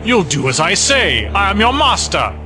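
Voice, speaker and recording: A man's voice: high-pitched, big pitch swings, moderate pace, bright, forceful tone, heavily acted. An actor playing a cold, commanding male villain in an audio drama, smug and menacing. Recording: music bed behind voices.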